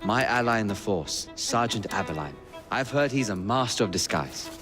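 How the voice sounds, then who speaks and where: An actor playing an earnest, guarded Victorian gentleman scholar with a measured, precise way of talking in a game. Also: fairly low pitch, animated, music in the background, close to an everyday voice. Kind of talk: video game cutscene acting.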